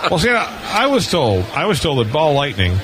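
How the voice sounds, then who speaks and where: A man's voice: on the high side, wildly swooping pitch, normal speed, background noise. A man on a live radio show asking questions in casual chat, often stumbling and restarting his sentences. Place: convention floor booth. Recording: live broadcast with crowd behind.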